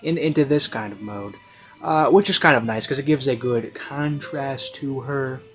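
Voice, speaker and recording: Man, medium pitch, casual reviewer, rambling and hesitant, frequent fillers, classical music faintly behind. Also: moderate pace. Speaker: online reviewer. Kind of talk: casual unscripted review commentary.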